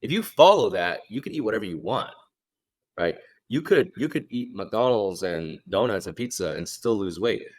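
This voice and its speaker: A man's voice: lowish voice, lively intonation, normal speed, dry recording. A young adult man from Hong Kong, a fitness coach guest talking casually on a podcast and often checking in with "right?".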